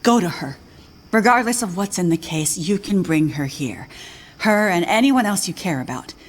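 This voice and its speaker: An actress playing a grave older female scientist in a video game cutscene, speaking in a measured, solemn way. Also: on the low side, expressive. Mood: resolute.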